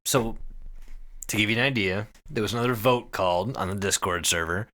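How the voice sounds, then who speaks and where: A man hosting a podcast, giving a casual, profanity-laced story recap to his co-hosts in an animated way. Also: on the low side, brisk. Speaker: podcast host.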